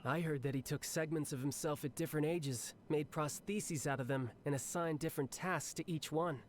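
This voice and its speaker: An actor playing a cocky, cheerful young warrior officer in a video game, speaking in a breezy, confident, conversational way. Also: male voice, on the high side, normal speed, no echo, natural voice. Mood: wry, amused. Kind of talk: scripted video game character monologue.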